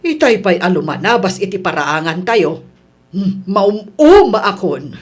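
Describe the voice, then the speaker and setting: A woman's voice: mid-range voice, big pitch swings, a little slow, slightly rough. A woman playing a touchy, grumbling older mother in a comedy radio drama. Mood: annoyed.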